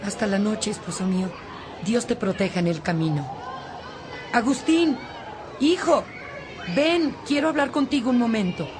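A woman's voice: on the high side, lively intonation, normal speed, long pauses, natural voice. An actress playing a worried, devout and firm mother in a radio drama, earnest and admonishing. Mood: tender. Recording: faint crowd murmur behind voice.

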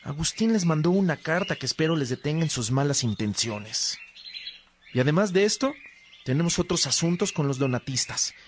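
A man's voice: a little high, lively intonation, moderate pace, lightly acted. Actor as Evodio, earnest churchman amid noise. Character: Evodio, earnest male churchman among brothers in faith. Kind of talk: radio drama acting.

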